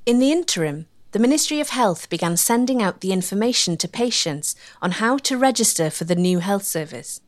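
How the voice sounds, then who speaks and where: A woman giving measured, scripted narration for a history documentary. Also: medium pitch, expressive. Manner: measured, articulate.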